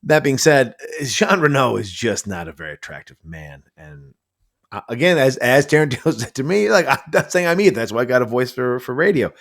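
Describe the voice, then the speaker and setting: Animated man in casual movie chat. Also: medium pitch, fairly quick. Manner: animated, swears freely, laughs at own jokes. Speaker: podcast guest.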